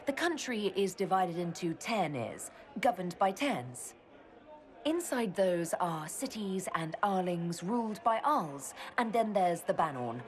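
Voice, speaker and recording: A woman's voice: lowish voice, lively intonation, takes it slowly. An actress playing a curious, dryly witty woman leader who talks in a chatty, lightly teasing way.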